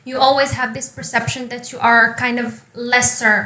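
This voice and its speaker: Bright, high-voiced woman from Morocco. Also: flat delivery, a little fast, reverberant. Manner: reflective, explains with frequent restarts. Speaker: panelist. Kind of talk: casual panel discussion.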